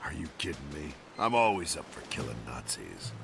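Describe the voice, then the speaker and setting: An actor playing a gruff, war-weary middle-aged American army captain in a video game, speaking in a gravelly, grim, hard-boiled way. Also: male voice, rumbling low, big pitch swings, moderate pace, heavily acted. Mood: defiant. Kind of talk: dramatic game narration and dialogue. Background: loud dramatic music.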